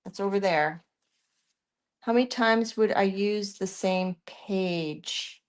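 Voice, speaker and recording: A Canadian woman hosts a live art session in a middle-pitched voice. She chats as she works, thinking aloud and answering viewers as their questions come in. Her sentences often wander off unfinished. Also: takes it slowly. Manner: chatty, thinks aloud while working, trails off mid-sentence. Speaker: art tutorial host.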